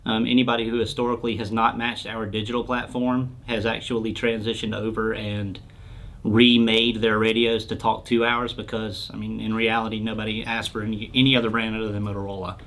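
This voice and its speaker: A man's voice: fairly low pitch, little pitch movement, a little fast, a bit bright. A man answering questions as a company product expert, in an informal, plain-spoken explanatory style. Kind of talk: casual explanatory interview talk.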